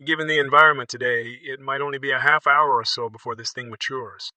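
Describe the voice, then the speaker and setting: Man as a keen, composed storm spotter: very sharp, bright voice, dry sound, technical live reporting. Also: medium pitch, steady tone, quick pace, dry recording. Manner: technical, observational.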